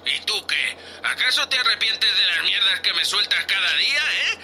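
Sulky drunk spaceship crewman acted by a man, sharp-voiced. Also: high-pitched, very animated, normal speed, faint music behind, exaggerated voice. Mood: sulky, resentful. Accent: Spain Spanish. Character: drunk, sulky, whining spaceship crewman, insolent to his captain. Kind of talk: Spanish sci-fi audio drama acting.

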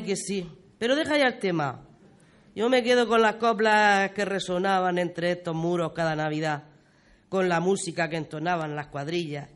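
A performer playing a talkative, fond old grandmother, reminiscing in folksy, expressive style in a community radio play. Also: mid-range voice, natural voice. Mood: nostalgic, fond. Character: talkative, fond old village grandmother telling local history.

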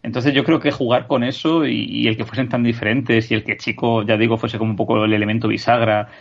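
A man's voice: medium pitch, flat delivery, brisk, gravelly, dull audio. A man from in a casual film discussion on a podcast, explaining at length and checking for agreement. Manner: talkative, explanatory, seeks agreement with tag questions. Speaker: podcast panelist.

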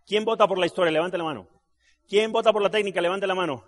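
A man's voice: high-pitched, fast. A middle-aged man gives a live seminar talk to an audience. He is a trained actor and voice artist who tells stories with jokes and keeps asking the crowd for agreement. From Mexico City. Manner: animated storyteller, jokes with the crowd, asks tag questions. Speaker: business seminar speaker.

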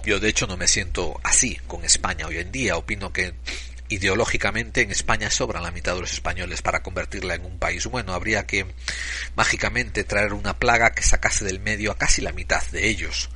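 A man giving an opinion monologue, speaking emphatically and deliberately, at times searching for his words. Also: on the low side, pushed and bright, slight background noise. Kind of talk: opinion monologue to camera or microphone.

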